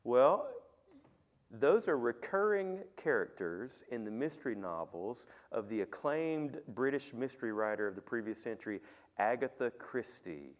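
A middle-aged man preaching a sermon as pastor in a church sanctuary on a headset mic, talking with the congregation and asking them questions, and praying quietly. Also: mid-range voice, very animated, measured pace. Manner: conversational, asks the congregation questions; soft, quiet when praying. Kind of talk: sermon with opening prayer. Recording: long reverb of a church hall.

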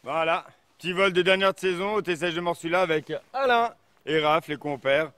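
A man narrating his own paragliding flight for a casual vlog, cheerful and relaxed, filmed outdoors on snowy slopes with an action camera on a pole. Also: high voice, a little fast, clear, resonant tone, no room sound, clean studio sound. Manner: cheerful, relaxed, enthusiastic outbursts. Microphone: action camera on a selfie pole. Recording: faint wind noise on outdoor camera mic.

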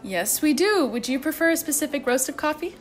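A woman's voice: high-pitched, lively intonation, a little fast, soft background music. A woman playing a chirpy, sweet and friendly young coffee-shop barista in a radio play. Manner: chirpy, sweet customer service.

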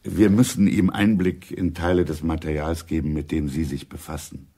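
Very deep, gravelly intelligence chief. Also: male voice, fairly even intonation, moderate pace, warm tone, full character voice. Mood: measured, authoritative. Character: senior intelligence chief, urbane, matter-of-fact, authoritative older man. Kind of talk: German radio drama acting.

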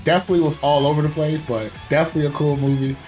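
A man's voice: a little high, racing, faint music behind. A young man chatting casually like a streamer in a room, blunt and slangy in his commentary. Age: young adult. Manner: slangy, animated, blunt, says bro often. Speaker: guest commentator ranking movies.

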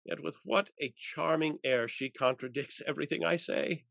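A man's voice: a little high, moderate pace, creaky, a bit bright, dry recording. A man in his fifties or sixties playing an exasperated, doting old husband in a period stage comedy, theatrical and sputtering. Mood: rueful. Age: 50s to 60s. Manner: blustering, theatrical, exclamatory, sputtering. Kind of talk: period comedy stage acting over video call. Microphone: video call.

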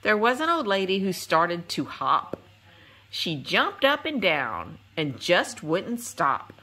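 A woman host reading a children's picture book aloud in an expressive, sing-song, rhythmic storytelling style. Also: lowish voice, takes it slowly. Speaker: read-aloud channel host.

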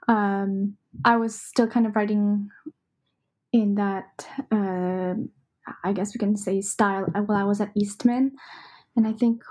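A young woman composer answering interview questions in a thoughtful, casual way, with many pauses and fillers. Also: mid-range voice, warm tone.

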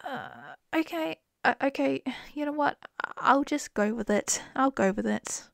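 A woman's voice: high-pitched, big pitch swings, a little slow, gravelly, dry recording. A woman with an Australian accent in a close-mic ASMR roleplay as a wife, playful and giggly.

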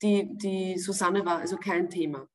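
A woman in her forties with an Austrian accent, answering interview questions from home over a video call. She speaks casually and often pauses with fillers while searching for the right words. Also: fairly low pitch, fairly even intonation, a little mellow. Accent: Austrian German. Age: 40s. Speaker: actress, interview guest. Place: home room, indoors. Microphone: video call webcam.

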